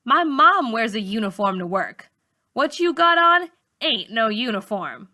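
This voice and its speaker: A woman's voice: a little high, sing-song, unhurried, pushed and bright, full character voice. A woman voicing a blunt, nosy middle-school girl from a storybook, with informal, challenging questions.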